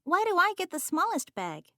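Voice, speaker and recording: A woman voicing an eager, stubborn little boy mouse in a children's picture-book read-aloud, boastful and excitable. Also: very high voice, normal speed, no echo, studio quality, pitched above the performer's own voice, full character voice. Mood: whiny.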